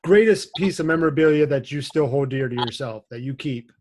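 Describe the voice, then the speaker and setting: A man's voice: medium pitch, brisk, darkish tone. A man from Ohio co-hosting a podcast, easygoing and putting questions to the guest. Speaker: podcast co-host. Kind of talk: casual podcast chat.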